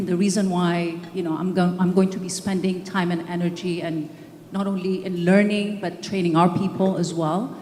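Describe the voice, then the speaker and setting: A middle-aged woman from Ethiopia speaks earnestly into a handheld mic on a conference stage, her voice a touch low. She often restarts and fills pauses as she explains. The hall adds a long, roomy echo with faint ambience behind her. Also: steady tone, warm tone, a little hiss or hum. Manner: earnest, many fillers and restarts. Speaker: panelist, games organizer. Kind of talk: panel discussion.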